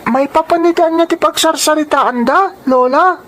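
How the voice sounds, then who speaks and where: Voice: sits high, moderate pace, fairly bright tone, a little hiss or hum. An actor voicing a curious, insistent young grandson in an Ilocano radio drama, with an Ilocano accent. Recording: music under the voice.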